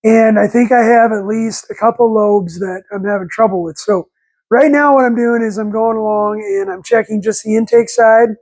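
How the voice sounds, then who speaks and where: A raspy man in his forties with a head cold, rambling folksy and correcting himself while talking through engine work in a garage on a lapel mic. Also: sits high, steady tone, moderate pace, darkish tone. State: congested with a cold. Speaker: channel host. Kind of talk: casual workshop vlog. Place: home garage workshop, indoors.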